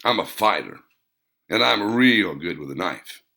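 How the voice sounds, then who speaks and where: A man's voice: low voice, animated, a little slow, vocal fry, pushed and bright, exaggerated voice. A man voicing a skinny, scruffy, hotheaded hillbilly who stubbornly repeats his demand in an audiobook. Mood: defiant. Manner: blunt, stubborn, repeating himself.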